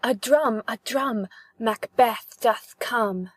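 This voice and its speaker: A woman playing a solemn witch who chants prophecies in a measured way for a dramatic Shakespeare reading. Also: fairly high pitch, husky, no echo. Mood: chanting.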